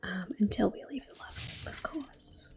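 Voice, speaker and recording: A woman giving gentle, soft-spoken ASMR gameplay commentary in a breathy, unhurried whisper. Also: mid-range voice. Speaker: gameplay host.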